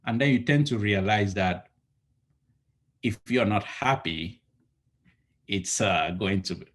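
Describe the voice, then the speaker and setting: A man's voice: fairly low pitch, animated, slow, fairly bright tone. A man in his thirties from Cameroon, giving earnest, rambling advice on a home video call and restarting his sentences often. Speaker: entrepreneur guest. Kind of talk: casual video call interview. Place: home living room, indoors. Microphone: laptop webcam on a video call.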